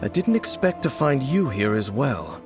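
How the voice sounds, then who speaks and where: An actor playing a mysterious, grave wanderer who hunts the Abyss, speaking in a measured and cryptic way in video game voice acting. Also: male voice, medium pitch, very animated, close to an everyday voice. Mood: solemn. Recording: game audio with loud music.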